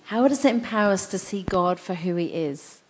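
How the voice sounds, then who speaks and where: Reverberant hall, woman interviewer, medium pitch, chatty, encouraging, spontaneous phrasing. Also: animated, a little fast. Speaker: panel host, interviewer. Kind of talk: informal live panel interview. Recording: echoey hall with long reverb.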